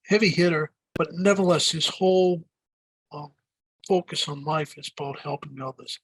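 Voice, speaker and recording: An older man with a deep Boston accent, answering interview questions in a rambling way, with many fillers and restarts. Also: a bit bright, breathy edge, no echo, low-quality recording. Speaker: guest.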